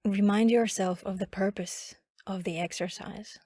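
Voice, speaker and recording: A woman guiding a body scan meditation in a calm, instructional manner with long pauses. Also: mid-range voice, no echo, clean studio sound. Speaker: meditation guide.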